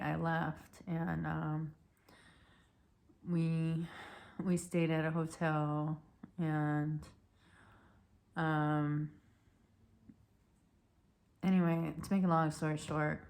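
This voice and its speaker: Woman, 30s, hoarse voice cracking, low flat delivery, halting casual vlog storytelling. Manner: rambling, halting, many restarts and fillers. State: losing her voice, cracking. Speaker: vlogger.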